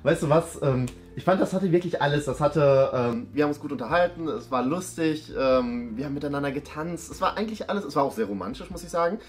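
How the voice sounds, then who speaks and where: A young man with a fairly high voice talks extremely fast. His delivery is casual, improvised banter, with faint music behind it. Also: animated. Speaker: date participant in a comedy video. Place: indoor studio room at a table.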